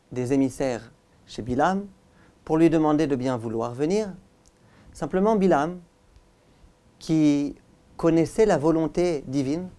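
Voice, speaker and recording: A male rabbi giving a religious lesson as a story, with emphatic, deliberate phrasing. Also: fairly high pitch, mellow. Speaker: rabbi, teacher.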